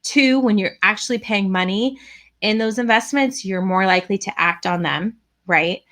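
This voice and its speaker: A woman's voice: medium pitch, moderate pace, fairly bright tone. A woman giving casual, chatty advice as a business coach, with frequent fillers. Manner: chatty, many fillers and restarts.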